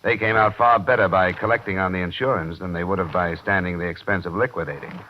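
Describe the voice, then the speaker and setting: Actor as deep-voiced American radio investigator, muffled recording. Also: male voice, steady tone, fast talker, a little hiss or hum, natural voice. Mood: businesslike. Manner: clipped, matter-of-fact, dry. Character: tough, world-weary freelance insurance investigator. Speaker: lead actor in a radio detective series. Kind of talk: 1950s radio drama acting, first-person narration and dialogue.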